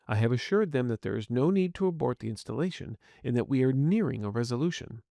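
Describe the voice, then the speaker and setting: A man's voice: mid-range voice, animated, fast talker, vocal fry, warm tone, no echo, clean studio sound. A man voicing a polite, curious artificial intelligence medical agent in an audio story, speaking in formal, precise and courteous wording. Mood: calm, reassuring. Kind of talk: scripted audio fiction dialogue.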